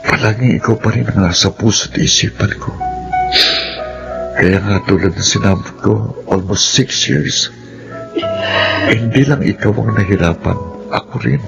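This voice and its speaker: Deep-voiced remorseful Filipino man. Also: vocal fry. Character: remorseful returning lover and father, pleading earnestly. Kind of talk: Filipino radio drama acting. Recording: dull radio recording, music bed.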